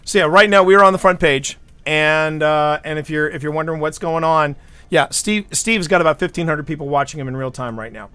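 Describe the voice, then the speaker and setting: A man's voice: a little high, a little fast, pushed and bright, clean tone, no echo. A man from the United States hosting a livestream, rambling through stories and often repeating his words as he chats. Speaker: livestream host. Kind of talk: casual chat.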